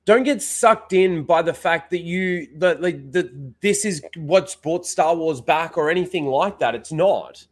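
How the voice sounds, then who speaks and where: Brief: young guy, streamer, stuffy nose, rambling chat, a touch higher than most men. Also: lively intonation, measured pace, few pauses. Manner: rambling, filler-heavy, reads out chat names. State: blocked nose. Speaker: live stream host. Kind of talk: casual rambling livestream chat.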